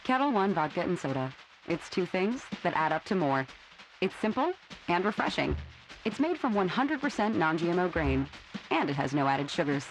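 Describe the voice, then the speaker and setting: Low, animated woman reading a commercial. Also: normal speed, rough recording. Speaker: commercial voice-over announcer. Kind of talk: scripted drinks advert narration. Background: faint music bed.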